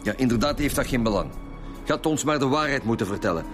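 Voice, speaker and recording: An actor playing a stern, impatient Flemish police interrogator in a naturalistic radio drama. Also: male voice, medium pitch, lively intonation, fast talker, music in the background, natural voice.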